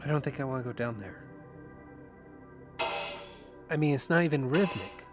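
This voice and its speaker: A man's voice: medium pitch, lively intonation, moderate pace, close to an everyday voice. A man playing an uneasy young man in an audio drama, anxious and questioning. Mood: hushed unease. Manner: questioning, anxious, casual profanity. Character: uneasy young man, Greg, unsettled by strange noises. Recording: music under the voice.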